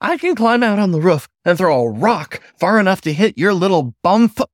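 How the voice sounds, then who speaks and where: A shrill, fussy hare manager, squeaking high in a piercing kettle-whistle tone. He swings wildly up and down while he scolds, and the sound is close and dry, with no room around it. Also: big pitch swings, moderate pace, no room sound. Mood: scolding. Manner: scolding, exasperated outbursts.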